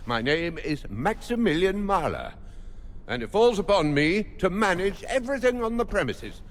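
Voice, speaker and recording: An actor playing a fussy, pompous, overworked academy rector in a video game, with hesitant, formal phrasing. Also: male voice, sits high, very animated, normal speed, long reverb tail, slight background noise. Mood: flustered, self-important. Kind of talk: video game dialogue acting.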